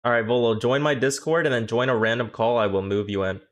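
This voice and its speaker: A man's voice: mid-range voice, steady tone. A young man streaming, chatting casually and joking with his viewers. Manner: profane, joking, quick reactions. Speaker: streamer and coach. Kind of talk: casual livestream chat.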